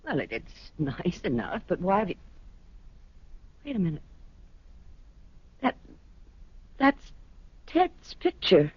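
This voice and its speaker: Middle-aged wife, radio drama: indignant, loyal, very varied intonation, muffled old recording. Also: female voice, fairly low pitch, huge pitch swings, husky, dry recording, heavily acted. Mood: shaken disbelief. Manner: indignant, halting when shaken. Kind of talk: 1970s radio drama acting. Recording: dull vintage radio recording.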